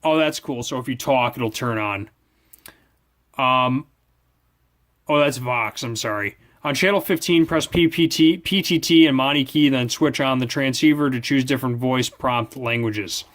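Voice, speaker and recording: A man's voice: medium pitch, moderate pace, fairly bright tone. A man reviewing a product, reading its manual aloud with casual asides, often stumbling over and repeating words.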